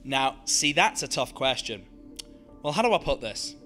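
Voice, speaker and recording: A man's voice: mid-range voice, very animated, sharp tone, faint music behind. Man acting gloating villain with theatrically swooping voice. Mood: smug taunting. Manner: mocking, taunting, drawn-out menace. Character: gloating, theatrical male serial killer villain. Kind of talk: amateur radio play acting.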